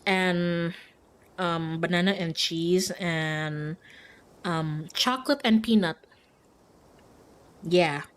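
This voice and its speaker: A woman's voice: fairly low pitch, very slow, long pauses. A woman from Indonesia, chatting casually and informally as a livestreamer. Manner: informal, frequent "like", giggly. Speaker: streamer. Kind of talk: casual livestream chat while playing a visual novel.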